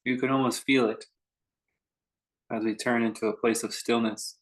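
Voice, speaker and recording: A man guiding a meditation in an informal, conversational way, speaking in short phrases with unhurried pauses between them. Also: medium pitch, little pitch movement. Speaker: meditation teacher leading a guided meditation. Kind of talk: unscripted guided meditation talk.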